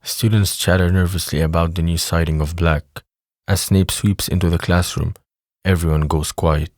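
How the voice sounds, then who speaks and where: A man's voice: deep voice, fairly even intonation, moderate pace, a little mellow, no room sound, studio quality. A man reading scripted narration for an audio drama.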